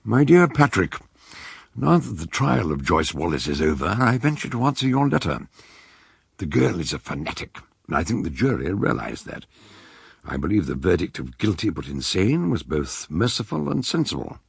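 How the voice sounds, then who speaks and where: An actor playing a genial, learned detective doctor reading a letter aloud in a radio drama, with courtly, orotund phrasing and a gravelly voice. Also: male voice, sits low, sing-song, moderate pace, no room sound, studio quality, exaggerated voice. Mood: genial, wry.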